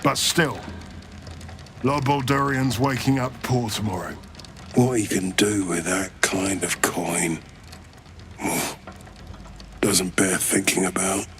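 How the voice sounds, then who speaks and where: A man's voice: deep voice, fairly even intonation, slow pace, long pauses, fairly bright tone, a little hiss or hum, full character voice. A man voicing a self-serving, greedy banker in a video game, with a wry, glib, sarcastic manner. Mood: persuasive.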